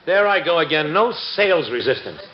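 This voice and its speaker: Comedian as a meek high-voiced car buyer. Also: male voice, sing-song, fairly quick, heavy background noise. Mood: skeptical, easily persuaded. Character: meek, gullible man shopping for a new car. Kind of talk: 1940s radio comedy sketch acting. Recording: dull vintage radio recording.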